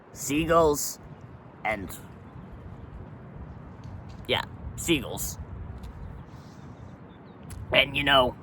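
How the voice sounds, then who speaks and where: A crude, swaggering pirate who rambles through offhand rants, dropping "matey" at every turn, as a man puts him on. The voice is bright and forceful, with a clear edge, over faint outdoor noise. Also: medium pitch. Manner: pirate slang, keeps saying "matey". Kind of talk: comic character monologue.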